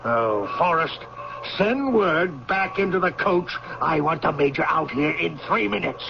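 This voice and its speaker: Blustery, officious male general, highish gravelly voice, ambitious, muffled vintage radio drama. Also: lively intonation, a little slow. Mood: impatient, irritated. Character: ambitious, impatient, self-important Union general. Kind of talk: old-time radio drama acting. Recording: dull old radio recording, faint noise.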